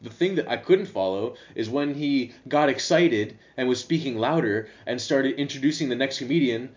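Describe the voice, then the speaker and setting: A young man chats loosely as a podcast host, starting sentences over and tossing in swear words. His pitch sits in the middle range. The sound is a bit dull and lo-fi. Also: moderate pace. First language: English. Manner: rambling, swears casually, many restarts. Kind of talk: casual podcast chat.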